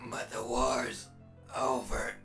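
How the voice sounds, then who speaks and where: A man voicing a broken, dying robot prisoner in agony, gasping weakly in a breathy half-whisper for a comic dub. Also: mid-range voice, fairly even intonation, slow pace, fairly bright tone, faint music behind. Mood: agonized, pleading.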